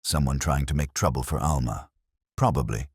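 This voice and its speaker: A man's voice: extremely low pitch, moderate pace, vocal fry, husky, no echo, clean studio sound, voice pushed down, heavily acted. A man voicing a defensive, haughty suburban leading lady in a mystery story, prim and clipped.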